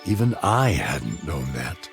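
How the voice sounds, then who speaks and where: A man's voice: rumbling low, sing-song, takes it slowly, creaky, exaggerated voice. An actor playing a curious male ally in a fantasy video game cutscene. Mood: puzzled. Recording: loud music behind the voice.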